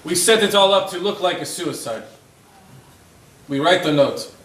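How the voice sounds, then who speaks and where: A man's voice: high-pitched, expressive, normal speed, a bit bright, a little hiss or hum. A man acting a scheming, cynical Italian fascist in a stage play, arguing in a persuasive, theatrical way. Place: indoor theatre stage, recorded from a distance. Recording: echoey stage, distant mic.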